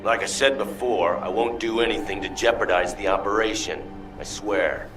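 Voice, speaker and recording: An actor voicing a dismissive, vengeful soldier in a video game, gruff and curt. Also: male voice, fairly low pitch, moderate pace, natural voice. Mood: irritated, defiant. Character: gruff, dismissive male soldier bent on revenge. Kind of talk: scripted video game dialogue. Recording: roomy, distant, music underneath.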